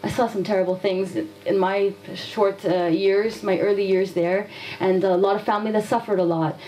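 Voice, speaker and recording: A woman's voice: medium pitch, steady tone, moderate pace, mellow, slight background noise, rough recording. A woman from Afghanistan, giving an interview answer in a direct, challenging way with rhetorical questions. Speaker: guest from a nonviolence institute.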